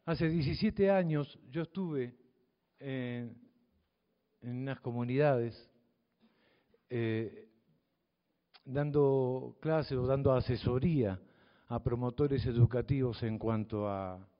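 An older man with a Southern Cone Spanish accent addresses an audience in a measured, reflective way, with deliberate pauses and a provocative edge. His voice sits at a middle pitch and carries a long reverb from a large hall. The sound is a bit dull and muffled. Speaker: speaker, mathematics teacher. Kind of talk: public speech to an audience.

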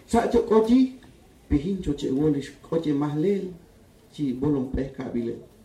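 Man with a dark voice, reading from far off. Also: fairly high pitch, a little slow. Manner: calm, steady reading voice. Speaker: writer reading his own text. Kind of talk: literary reading at a public event. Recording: echoey room, distant mic.

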